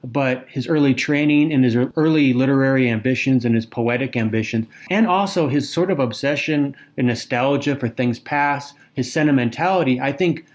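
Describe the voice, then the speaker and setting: A man's voice: medium pitch, moderate pace, few pauses. A man co-hosting a podcast, talking through a novel in a thoughtful, casual way. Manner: thoughtful, reads quotes aloud. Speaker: podcast co-host. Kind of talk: casual literary discussion.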